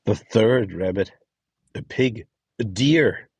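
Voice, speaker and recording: Man, scripted guide narration, deep pitch, dry close sound. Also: expressive, takes it slowly, darkish tone, slightly rough, no room sound. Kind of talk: scripted instructional narration with short acting prompts.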